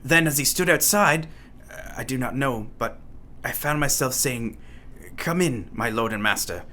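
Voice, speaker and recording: A man playing a tormented madman in a radio play, delivering a halting, dramatic confession. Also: medium pitch, expressive, bright, forceful tone, a little hiss or hum. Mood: haunted. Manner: haunted, dramatic, halting monologue.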